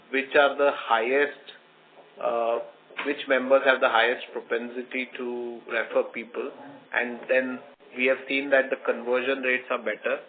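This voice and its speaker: A man with an Indian accent speaks as a company executive on an earnings call, explaining things in a measured, halting way. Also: medium pitch. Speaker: company executive answering questions. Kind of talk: earnings call question and answer. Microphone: conference phone call. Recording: tinny phone line with noise, clicks.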